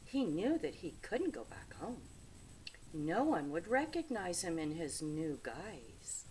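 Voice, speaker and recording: A woman reading a children's picture book aloud with dramatic, deliberate phrasing, speaking to young listeners. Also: on the low side, rough recording. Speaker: read-aloud storyteller. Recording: steady background noise.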